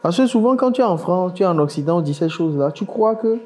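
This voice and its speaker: A man's voice: sits high, animated, fast talker, a little mellow. A man hosting a casual podcast, curious and questioning, thinking aloud as he asks his guest things. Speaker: podcast host.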